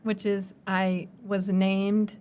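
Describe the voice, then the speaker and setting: A young woman from the United States, hosting a casual chat and telling stories in a lively way with many filler words. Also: medium pitch, very slow, clean tone. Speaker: podcast host.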